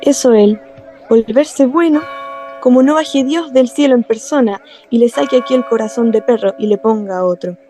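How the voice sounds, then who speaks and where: An actress playing an anguished, bitter, fearful working-class wife in a radio play, with a somber delivery. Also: female voice, a little high, moderate pace, dark tone, lightly acted. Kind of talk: dramatized radio play reading. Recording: music behind the voice.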